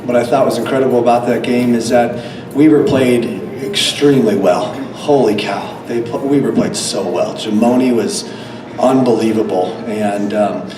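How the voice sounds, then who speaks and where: A man coaching a team, slightly hoarse from a cold, answering press questions with many fillers and restarts. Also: mid-range voice, normal speed, few pauses. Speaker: head coach. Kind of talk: post-game press conference answers. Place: press conference room. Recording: echoey room, distant mic, background noise.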